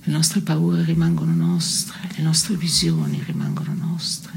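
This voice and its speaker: Low, creaky, sardonic woman. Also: big pitch swings, a little slow, a little mellow, whispered, full character voice. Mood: weary, disillusioned. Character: disillusioned, caustic woman in a deadpan absurdist dialogue. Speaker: stage actress. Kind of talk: theatrical dialogue in a radio play.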